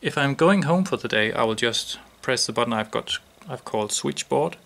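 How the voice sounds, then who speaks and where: Gravelly man demonstrating a product. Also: medium pitch, expressive, moderate pace, fairly bright tone.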